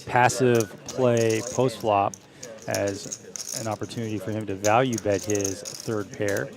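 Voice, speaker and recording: A man's voice: lowish voice, steady tone, slow pace. Middle-aged male poker commentator, chatty and laughing, casino crowd behind.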